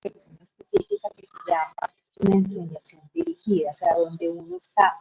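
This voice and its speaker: A middle-aged woman with a Colombian Spanish accent tells her life story as an interview guest, reflective and unhurried, with many hesitations. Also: lowish voice, sing-song, darkish tone, slightly rough, rough recording.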